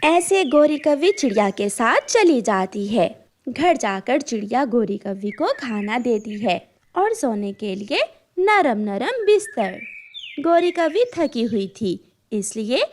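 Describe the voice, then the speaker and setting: Woman telling a cartoon story, high voice, takes her time, faint noise behind. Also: very animated, slow pace. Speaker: narrator. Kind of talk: scripted cartoon story narration.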